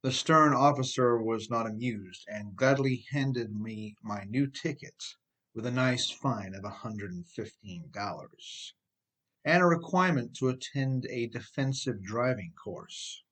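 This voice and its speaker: A man's voice: mid-range voice, lively intonation, unhurried, a bit bright. Middle-aged American man narrating an audiobook. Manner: emphatic, addresses listener with rhetorical questions. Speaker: author reading own audiobook. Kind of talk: scripted audiobook narration with conversational asides.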